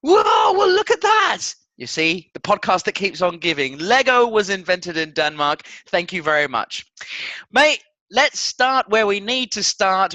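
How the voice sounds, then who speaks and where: Lively, high-pitched male podcast host. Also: dry recording. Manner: teasing, jokey, theatrical. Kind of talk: casual sports banter.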